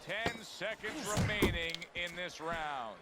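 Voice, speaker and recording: A man's voice: sits high, animated, takes it slowly, very noisy. A man playing a keen professional boxing play-by-play announcer in a video game, giving sports commentary. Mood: focused.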